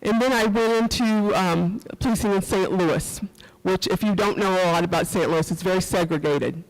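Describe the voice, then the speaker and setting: A middle-aged woman with a rural Missouri accent gives an earnest, halting personal talk as a speaker at a summit, repeating phrases for emphasis. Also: medium pitch. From rural Missouri, USA. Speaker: panel speaker, former police officer and professor. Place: event hall at a community center. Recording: echoey hall, long reverb.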